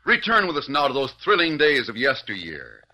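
A man's voice: medium pitch, huge pitch swings, brisk, pushed and bright. A man announcing and narrating an old radio western in a stirring, declamatory style. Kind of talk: dramatic 1940s radio announcing.

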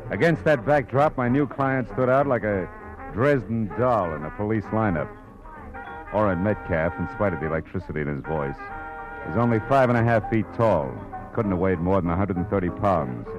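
A man's voice: fairly low pitch, close to an everyday voice, poor audio. A man acting a world-weary, wry private detective in the clipped style of 1940s radio noir. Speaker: narrator and lead of a detective drama. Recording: vintage radio recording with music.

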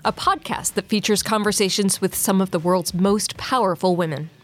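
A woman's voice: mid-range voice, normal speed. A woman reading a polished, scripted introduction to her podcast. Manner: polished, announcer-like read. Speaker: podcast host. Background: faint music.

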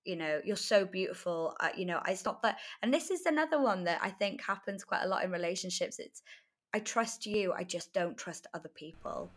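A woman's voice: mid-range voice, lively intonation, fairly quick. A woman in her late twenties from North Wales, giving candid, articulate and emphatic interview answers in a reflective, conversational way. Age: late 20s. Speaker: interview guest, article writer.

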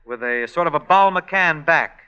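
A breezy joking song-and-dance man, bright voice, slightly high, dull vintage radio sound. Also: expressive, clean tone. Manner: breezy, joking, quick patter. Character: wisecracking male song-and-dance man Jim. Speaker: actor in radio play, also narrator. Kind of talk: 1940s radio acting. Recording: dull old radio broadcast.

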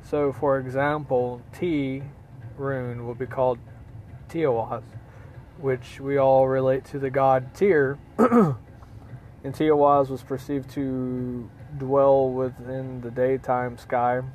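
Hoarse guy explaining stuff casually, lots of uhs and throat clearing, normal pitch. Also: fairly even intonation, a little mellow, a little hiss or hum. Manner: halting, many fillers, frequent throat clearing. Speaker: teacher of runes. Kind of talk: casual spoken lesson.

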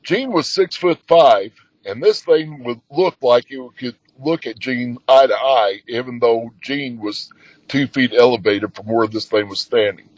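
A man guest telling his story in a halting way with many fillers, a man with a booming voice. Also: no echo, rough recording. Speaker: guest, expedition leader. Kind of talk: casual storytelling.

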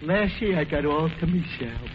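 An actor playing a dim-witted, earnest barfly in a 1940s radio comedy, halting and goofy. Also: male voice, on the high side, expressive, natural voice. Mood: earnest, dopey. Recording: muffled, very noisy old radio.

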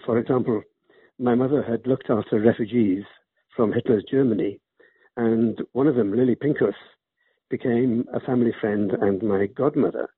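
A man's voice: mid-range voice, slightly rough, no echo. An older man from Wales, an emeritus professor, answering interview questions at length with frequent restarts. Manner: rambling, with restarts. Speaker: guest author. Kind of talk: interview over a call. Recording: muffled phone-like line, dry.

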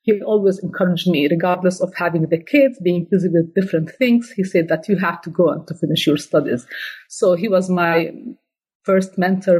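A woman's voice: fairly low pitch, mellow, breathy edge, poor audio. A middle-aged woman being interviewed about her book, answering carefully with frequent restarts. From born in Slovenia, raised in Jordan. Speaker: guest author, ethics scholar.

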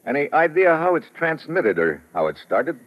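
An actor playing a brisk, shrewd investigator with an American accent and a clipped, informal manner in an old radio drama. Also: male voice, fairly high pitch, big pitch swings. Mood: businesslike. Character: brisk, hard-nosed male investigator, casual and shrewd. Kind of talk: vintage radio drama acting with narration. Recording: muffled, faintly noisy old radio recording.

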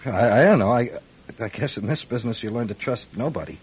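Radio drama, shady nervous photographer: stammering, very animated pitch, rough husky tone. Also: male voice, a little fast. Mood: evasive, nervous. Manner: stammering, jittery, evasive. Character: nervous, shady little male surveillance photographer, chain smoker. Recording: dull lo-fi radio drama recording.

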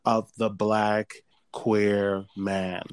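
A man's voice: lowish voice, level tone, drawn-out words, a crowd in the background. A man in casual chat, speaking earnestly and emphatically as he urges listeners on.

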